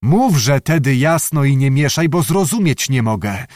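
A man's voice: medium pitch, sing-song, measured pace, darkish tone, no room sound, clean studio sound. A man voicing a seasoned knight, speaking firmly and commandingly. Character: seasoned knight, warm toward servant.

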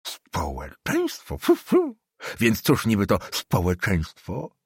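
A man voicing a cranky old male official who rants in a shrill, slow voice. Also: huge pitch swings, husky, dry recording, clean studio sound, heavily acted. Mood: scornful. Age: older. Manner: shrill, slow, shouting tirades. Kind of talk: audiobook character voice.

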